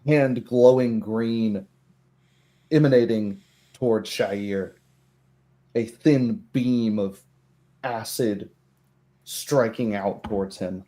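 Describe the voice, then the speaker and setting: A man narrating a tabletop roleplay game as game master, telling it in a measured, descriptive way. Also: lowish voice, mellow.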